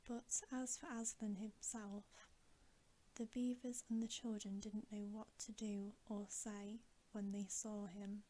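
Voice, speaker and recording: A woman reading a storybook in a soft, breathy ASMR whisper, with a gentle, soothing delivery. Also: medium pitch. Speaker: ASMR bedtime story reader.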